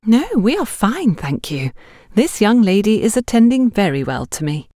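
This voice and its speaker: A woman's voice: mid-range voice, big pitch swings, normal speed, mellow, no room sound, pitched above the performer's own voice. A narrator, a woman, plays a friendly, chatty woman out shopping in an audiobook story. She is confiding and decisive, with swooping intonation in a dry, close sound. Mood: polite, assured. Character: chatty, friendly, decisive female shop customer.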